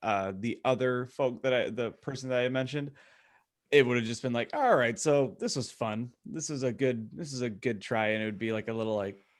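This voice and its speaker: Man chatting casually, thinking aloud. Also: mid-range voice. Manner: halting, thinking aloud, frequent filler "like". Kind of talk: casual chat.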